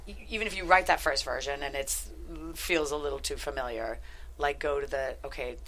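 An American woman in middle age chatting casually as a guest writer, in an animated, self-deprecating manner. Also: rumbling low, a little fast, low-quality recording. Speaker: guest screenwriter and actress. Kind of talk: casual interview chat. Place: studio couch on a podcast set. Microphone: headset.

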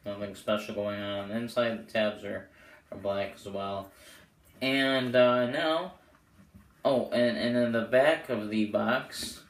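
Young male reviewer, low-pitched and hoarse, casual rambling delivery, echoey small-room camera audio. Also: takes it slowly. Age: young adult. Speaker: host of playing card deck reviews. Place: small bedroom, indoors. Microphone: camera mic a short way off. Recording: roomy echo, clear background noise.

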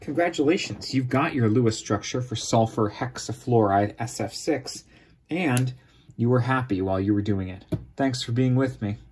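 A man's voice: medium pitch, normal speed, a little mellow, slight background noise. A man teaching chemistry, explaining step by step in an informal tutorial style.

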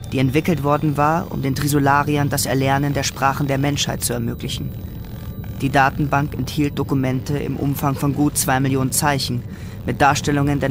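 Composed male narrator, even intonation. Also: mid-range voice. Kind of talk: scripted radio drama narration. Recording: music bed behind the voice.

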